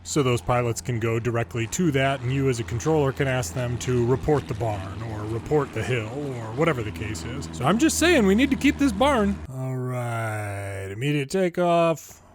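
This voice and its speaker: A man's voice: on the high side, fairly bright tone. An American man giving casual, folksy gameplay commentary and explanations as a former controller hosting a gaming video.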